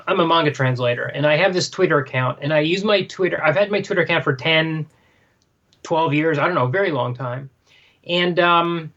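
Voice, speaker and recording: Male podcast co-host, fairly high voice, halting casual storyteller, dull lo-fi recording. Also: fairly bright tone. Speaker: podcast co-host and manga translator. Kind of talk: casual chat, telling an anecdote.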